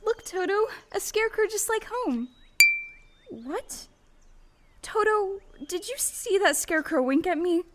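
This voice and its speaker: A woman's voice: very high-pitched, animated, measured pace. A woman playing a plucky, polite little farm girl, sincere and wide-eyed, in an audio play performed on stage. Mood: surprised, resolute. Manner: earnest, wide-eyed, proper. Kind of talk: stage radio play acting.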